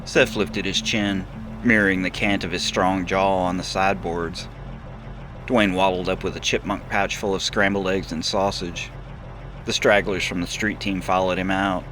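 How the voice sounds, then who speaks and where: A man's voice: sits low, fairly even intonation, moderate pace, fairly bright tone. A man reading a scripted first-person comic novel as an audiobook narrator, with music playing behind him. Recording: music bed under the voice.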